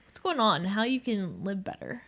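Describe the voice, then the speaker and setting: A woman's voice: mid-range voice, animated, normal speed, a bit bright. A young adult woman co-hosting a podcast, agreeable and chatty, often laughing along. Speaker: podcast co-host. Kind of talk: casual chat.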